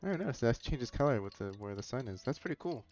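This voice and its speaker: A man's voice: mid-range voice, animated, fast talker, darkish tone. A man giving casual gameplay commentary as a streamer, musing aloud as he plays. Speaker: game streamer. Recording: muffled lo-fi mic, game music behind.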